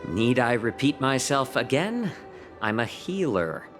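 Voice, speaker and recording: A man voicing a genial, gently wry healer with a composed, courteous manner in a video game. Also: mid-range voice, sing-song, measured pace, music in the background. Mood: mildly exasperated.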